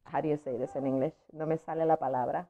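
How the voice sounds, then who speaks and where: A woman's voice: sits low, steady tone, very fast, dark tone. A woman, a native Spanish speaker, playfully and expressively reading a picture book aloud to children. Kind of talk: animated read-aloud storytelling. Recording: dull lo-fi audio.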